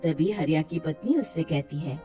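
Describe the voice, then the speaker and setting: A woman telling a cartoon folk tale with a lively, expressive lilt. Her tone is mellow and warm, with faint music beneath the narration. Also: sits low, normal speed. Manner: expressive storytelling. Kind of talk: scripted storybook narration.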